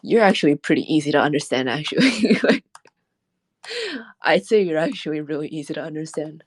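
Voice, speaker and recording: A woman's voice: low-pitched, brisk, no echo. A woman streamer chatting casually and rambling, laughing often as she talks. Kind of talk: casual late-night stream chat.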